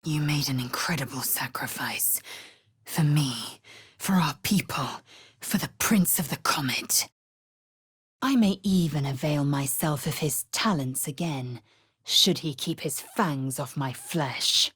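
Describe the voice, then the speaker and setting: A woman voicing a fierce, haughty githyanki warrior in a video game, with a husky rasp and an imperious, clipped, formal way of speaking. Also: deep voice, slow, fairly bright tone, no room sound, clean studio sound, exaggerated voice. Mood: reverent. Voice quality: husky, raspy. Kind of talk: video game character voice lines.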